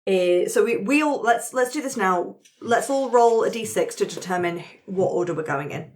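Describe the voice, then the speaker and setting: A woman's voice: mid-range voice, lively intonation, moderate pace, a little hiss or hum. A woman explaining game rules conversationally as facilitator, checking in with her group of players.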